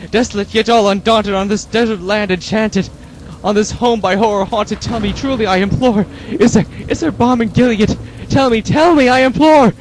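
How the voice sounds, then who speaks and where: A man voicing a grief-stricken, desperate man who cries out and pleads in high, strained shouts. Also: steady tone, normal speed, few pauses, slight background noise, natural voice, rough recording. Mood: frantic.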